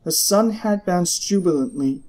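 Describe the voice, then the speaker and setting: Casting: male audiobook narrator, slightly higher register, dramatic and expressive delivery of literary prose. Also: measured pace, background noise. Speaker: story narrator. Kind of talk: dramatic scripted narration of fiction.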